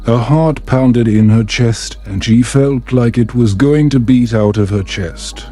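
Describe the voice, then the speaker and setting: A man narrating a fantasy bedtime story in a calm, soothing, measured way. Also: mid-range voice, faint music behind. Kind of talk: calm scripted fantasy audiobook narration for sleep.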